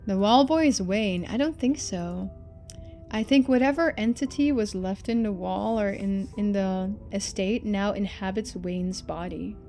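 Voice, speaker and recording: A woman's voice: medium pitch, a little slow, a little mellow, faint music behind. A woman reading game narration expressively and adding casual commentary as a streamer.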